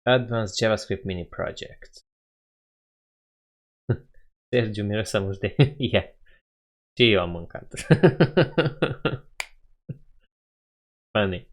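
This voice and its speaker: A man's voice: fairly low pitch, slow, long pauses. A young man with a Romanian accent, casually chatting with viewers on a coding live stream, laughing often and thinking aloud.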